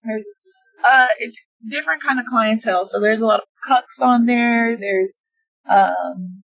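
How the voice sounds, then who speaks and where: A woman's voice: on the high side, measured pace, no room sound, rough recording. A woman in her twenties from Texas, a guest chatting casually in a car, hesitant with many fillers. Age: 20s. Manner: hesitant, many ums and likes, relaxed. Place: inside a car.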